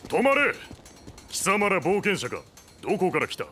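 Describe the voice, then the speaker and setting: A dutiful anime-game knight, formal and earnest, with a high, lively voice. Music is clearly audible behind him. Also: male voice, moderate pace. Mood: stern. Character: dutiful, proud male knight guard. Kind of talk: Japanese anime game voice acting.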